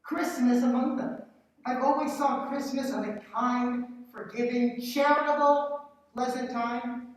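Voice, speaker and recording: A woman playing a cheerful, warm-hearted young man, an earnest nephew in a radio play. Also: high voice, steady tone, unhurried. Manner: earnest, pleading. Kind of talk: live radio play acting. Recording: very echoey hall, distant microphone.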